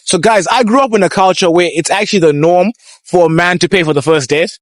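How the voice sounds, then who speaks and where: A man hosting a casual street interview, chatty and quick to laugh. His voice sits a little high. The sound is dry and close. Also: no echo. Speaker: host. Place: outdoors on city streets.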